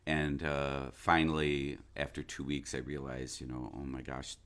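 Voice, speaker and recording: Very deep-voiced man, thoughtful and halting. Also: little pitch movement, a bit bright. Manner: thoughtful, halting, searching for words. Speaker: interview guest, stage and radio-play actor. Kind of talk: reflective interview conversation.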